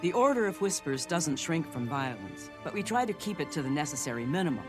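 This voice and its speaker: An actor playing a sly, self-assured secret agent in a fantasy video game, talking in a wry, confident manner. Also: male voice, a little high, very animated, fairly quick. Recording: game music behind the voice.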